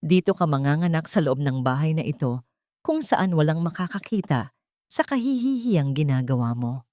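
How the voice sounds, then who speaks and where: A woman's voice: deep voice, lively intonation, moderate pace, dark tone, no room sound, studio quality. A woman voicing a cruel, commanding old rich mother-in-law in a dramatic story. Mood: cold, threatening. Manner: commanding, harsh, stern.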